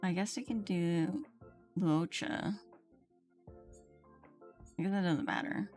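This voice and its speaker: A woman's voice: on the low side, fairly even intonation, slow pace, a little mellow. A woman livestreaming a game, chatting casually as she thinks aloud and reads dialogue out. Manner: thinks aloud, playfully mimics game lines. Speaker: game streamer. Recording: game music behind the voice. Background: video game music.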